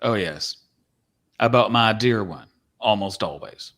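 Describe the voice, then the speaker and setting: A man's voice: lowish voice, measured pace, fairly bright tone. A man playing a folksy, courtly, wistful cowboy from the Old West in a dramatic script reading. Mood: wistful confiding.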